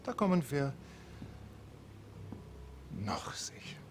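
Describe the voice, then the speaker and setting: A man's voice: on the high side, very animated, takes it slowly, in a whisper. An actor playing a middle-aged Nazi officer in a war game, German-accented, mock-courteous and menacingly polite as he savors his words. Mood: suspicious, mock-courteous. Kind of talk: cinematic war game acting.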